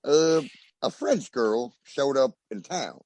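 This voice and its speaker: An actor playing a nervous, guilty wealthy husband with a husky voice and a flustered, theatrical manner in an old-time radio detective drama. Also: male voice, medium pitch, sing-song, slow pace, darkish tone, full character voice. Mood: sheepish.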